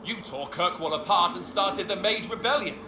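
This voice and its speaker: A man playing a hero's wry, argumentative companion in a fantasy game, sardonic and animated. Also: high-pitched, moderate pace, noisy background, natural voice. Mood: accusing. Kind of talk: fantasy video game voice acting. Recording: echoey, music behind.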